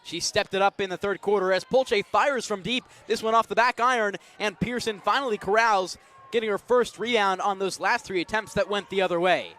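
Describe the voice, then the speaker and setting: High-pitched young man calling live basketball. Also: brisk. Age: young adult. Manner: energetic, descriptive call of the action. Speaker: play-by-play radio announcer. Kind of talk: live basketball radio commentary. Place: college basketball arena, live courtside call.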